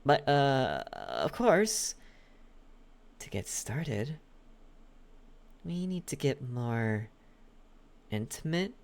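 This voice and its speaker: Man acting a slow, possessive demon butler. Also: mid-range voice, huge pitch swings, creaky, full character voice. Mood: insistent, coaxing. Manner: slow, halting, coaxing delivery with lingering pauses. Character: possessive, lovesick yandere demon butler, coaxing and menacing. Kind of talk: ASMR roleplay, intimate and close.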